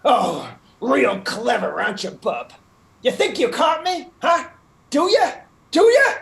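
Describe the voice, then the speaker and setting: A woman's voice: sits high, sing-song, takes it slowly, vocal fry, full character voice. A woman voicing a cocky male pickpocket, a wisecracking gangster type who turns sheepish, in a comedic radio play. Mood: cocky, defiant. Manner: streetwise gangster patter. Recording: roomy echo with faint noise.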